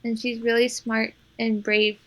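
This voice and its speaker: A woman's voice: fairly high pitch, flat delivery, measured pace, a bit bright. A woman playing a tabletop game, speaking in casual, improvised role-play.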